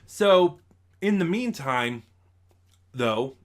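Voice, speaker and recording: A man hosting a casual spoken review, talking in a halting way with trailing phrases and emphatic stresses. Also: fairly high pitch, animated. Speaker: reviewer and channel host.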